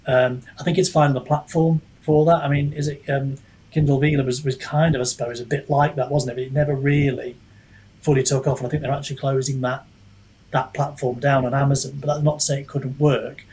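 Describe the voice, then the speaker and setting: A man with a husky, slightly rough voice at a medium pitch talks as a guest in a casual discussion. He is reflective and often hedges, restarting his thoughts as he works them out aloud. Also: a little mellow, rough recording. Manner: reflective, hesitant, hedging, thinking aloud. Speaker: podcast guest, author and book reviewer.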